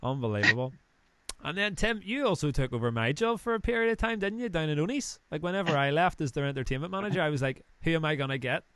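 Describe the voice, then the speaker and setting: A man's voice: fairly high pitch, expressive, fast, a bit bright, slight background noise. Northern Irish male host, thirties, casual and jokey, wanders off on tangents. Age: 30s. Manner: chatty, joking, rambling asides. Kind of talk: casual live-stream interview chat.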